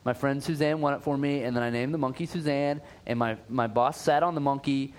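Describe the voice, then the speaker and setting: A man's voice: medium pitch, a little fast. A man co-hosting a casual podcast, chatty and upbeat, with frequent "uh" fillers and restarts. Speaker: podcast co-host.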